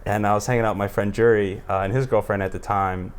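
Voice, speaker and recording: Low-voiced American man chatting casually. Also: steady tone, quick pace, slight background noise. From United States. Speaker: podcast host. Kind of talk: casual chat.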